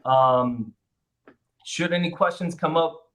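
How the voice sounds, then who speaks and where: A man's voice: fairly high pitch, slow, fairly bright tone. Upbeat man hosting a webinar. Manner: upbeat, chatty, many fillers and restarts. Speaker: webinar host. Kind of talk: webinar presentation. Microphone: video call.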